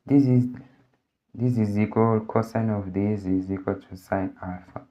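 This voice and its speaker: A man with a low voice, a native Portuguese speaker, talks through a physics problem very slowly. He thinks aloud and restarts his sentences often. The audio is dull and lo-fi. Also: fairly even intonation, dark tone. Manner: halting, thinks aloud with many restarts and fillers. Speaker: physics tutor. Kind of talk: working through a problem on a board.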